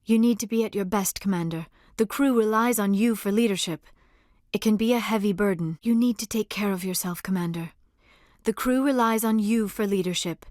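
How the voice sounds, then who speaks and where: A gentle young female alien researcher from a video game, played by a woman. Her voice sits at a medium pitch and she chooses formal words with care, sounding earnest and a little shy. The sound is dry, with no room around it. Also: no room sound, lightly acted. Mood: concerned, earnest. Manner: formal, careful, measured phrasing without contractions. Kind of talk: video game dialogue acting.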